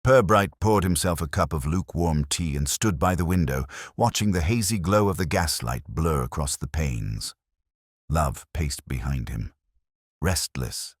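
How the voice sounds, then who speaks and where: A man narrating a scripted mystery story in a measured, atmospheric way. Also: rumbling low, dry recording, clean studio sound.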